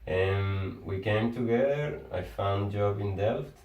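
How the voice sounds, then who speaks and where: A Venezuelan man, a Spanish speaker and engineer, in casual conversation, talking haltingly and often restarting words as he searches for his phrasing. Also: fairly low pitch, a little mellow. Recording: echoey room, far from the mic.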